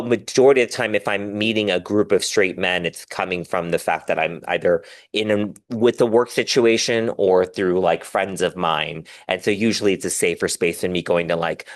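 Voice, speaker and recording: A man talking casually and candidly as a podcast guest, animated and rambling, with self-deprecating humor. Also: deep voice. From diaspora Korean, raised in the West.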